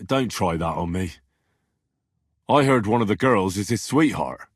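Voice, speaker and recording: An earnest young medieval commoner for a video game. His voice is husky and swings expressively, with a cheeky, dogged, bantering way of speaking. The recording is close and dry. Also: on the low side, animated, measured pace, no room sound. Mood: probing, firm. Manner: colloquial, questioning, bantering. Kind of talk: video game character dialogue.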